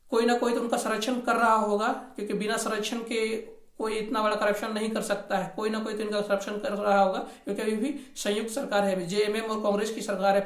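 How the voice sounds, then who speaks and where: A man presenting an informal news explainer, repeating points and mixing English terms into his Hindi. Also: sits high. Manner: repetitive, mixes in English terms. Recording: echoey room recording.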